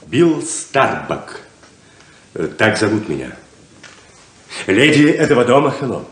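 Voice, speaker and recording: An actor playing a smooth-talking, self-assured rainmaker con man in a theatrical 1970s Soviet radio play. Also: mid-range voice, very animated, takes it slowly, fairly bright tone. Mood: grand, persuasive. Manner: theatrical, grandly persuasive.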